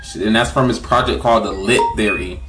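A man's voice: fairly low pitch, a little fast. A man hosting a music podcast in a casual, slangy, profane and repetitive way. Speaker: podcast host.

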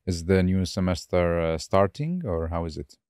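Very deep-voiced podcast host. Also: male voice, expressive, normal speed, a little mellow, clean tone, no room sound, studio quality. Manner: friendly, upbeat, laughs easily. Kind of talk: casual interview hosting. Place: Stockholm.

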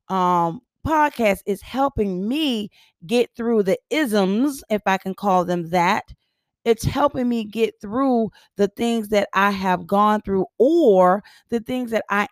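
A hoarse older woman hosting a casual motivational podcast, her voice husky and raspy, chatty with lots of ums and easy laughs. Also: mid-range voice, no echo, clean studio sound. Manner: chatty, laughs often, many ums and uhs. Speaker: podcast host. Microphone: podcast microphone.

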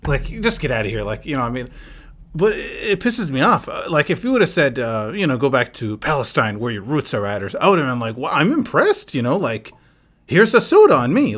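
A man from the United States hosting a casual podcast chat and telling stories in a relaxed way, often slipping into quoting what people said to him. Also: mid-range voice. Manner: relaxed storyteller, quoting people in quick asides. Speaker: podcast host.

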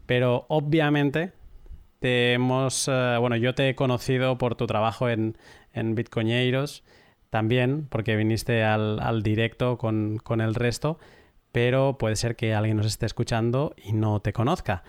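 A man hosting a podcast, introducing the episode and then interviewing a guest in an unhurried, measured way with some hesitant restarts. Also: medium pitch, little pitch movement, clean tone, dry recording, clean studio sound. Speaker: podcast host.

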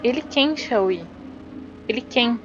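A woman's voice: on the high side, animated, slow, noisy background. A Brazilian woman playing a hero's concerned, insistent female companion, earnest and questioning, in a slightly lo-fi role-playing audio drama. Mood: worried, insistent. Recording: dull, slightly lo-fi sound.

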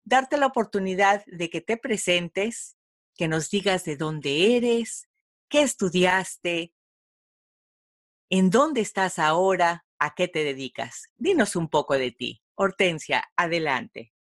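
A woman hosting an online radio interview, speaking in a measured, formal way and asking long, winding questions. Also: fairly low pitch, lively intonation. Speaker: host and interviewer.

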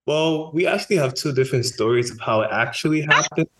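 A man from Brooklyn, a podcast guest speaking in a relaxed, hesitant way with many ums. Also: a little high, quick pace. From Brooklyn, New York. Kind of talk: casual interview talk.

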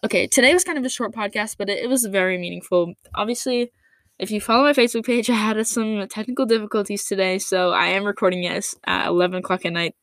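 A young woman hosting a solo podcast, her voice a bit raspy from recording late at night, talking casually with lots of fillers. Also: medium pitch, fairly even intonation, fast talker, fairly bright tone, no echo. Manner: casual, rambling, many fillers. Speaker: podcast host.

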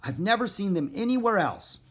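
A woman reading a storybook aloud, voicing a small, anxious but helpful male forest frog in a gentle, earnest tone. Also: mid-range voice, lively intonation, fairly quick, voice pushed up, natural voice. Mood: thoughtful. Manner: gentle, earnest, softly pleading. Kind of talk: storybook character voice.

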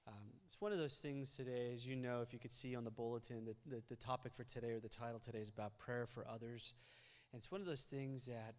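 A man's voice: mid-range voice, fairly even intonation, fast. A young man leads his congregation through a sermon, talking in an earnest, conversational way with rising energy. His voice sits in a middle register. His first language is Malayalam, and the recording carries the long, ringing reverb of a church hall. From United States. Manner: earnest, conversational, builds up energy while preaching. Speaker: pastor. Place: church sanctuary.

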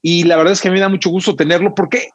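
Husky Mexican podcast host, dry sound. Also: male voice, high-pitched, fairly even intonation, racing, dry recording, muffled recording. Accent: Mexican Spanish. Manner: informal, friendly, uses Mexican slang. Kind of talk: casual podcast hosting.

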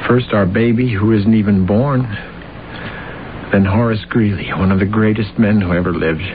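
A man's voice: lowish voice, moderate pace, close to an everyday voice. An actor playing an embittered, self-pitying and domineering husband losing his mind, in an agitated, pompous, old-time radio drama style. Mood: anguished, despairing. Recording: dull, noisy old radio recording.

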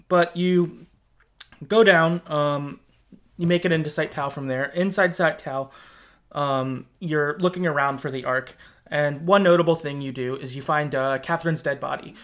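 A man hosting a podcast, casually talking through a video game in an informal, halting way, thinking aloud as he goes. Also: a little high, fairly bright tone. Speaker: podcast host. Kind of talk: casual conversational game discussion.

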